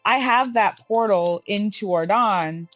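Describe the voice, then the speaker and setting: A woman's voice: medium pitch, unhurried. A woman playing in a tabletop game, chatting casually and thinking aloud with many restarts and fillers. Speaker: player. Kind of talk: casual tabletop planning chat. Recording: dull lo-fi mic with faint music.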